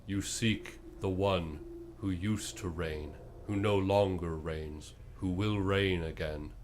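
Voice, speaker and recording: A man working as game master, improvising descriptive narration for a tabletop game in a halting way. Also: low-pitched, fairly even intonation, noisy background.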